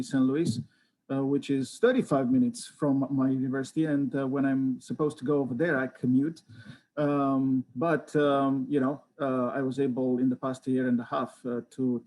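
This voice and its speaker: A man from Romania, a Romanian speaker, introduces himself as a professor on a video call from home. He speaks in a measured way with frequent uh fillers. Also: mid-range voice, warm tone, slight background noise. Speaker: associate professor.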